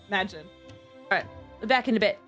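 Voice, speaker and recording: Woman game streamer, fairly high lively voice, giggly, exclamatory, casual chat, music in the background.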